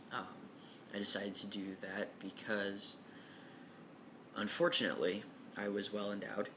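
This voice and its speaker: Low, flat-voiced young man. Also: noisy background. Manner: frank and matter-of-fact, with many "um"s and repeats. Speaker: speaker answering class questions. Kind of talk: casual talk to camera.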